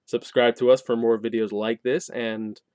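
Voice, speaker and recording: A man's voice: medium pitch, little pitch movement, normal speed, darkish tone, clear, resonant tone, dry recording. A man reviewing in a casual, unscripted way, with informal wording and emphatic stress on key points. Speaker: game review channel host.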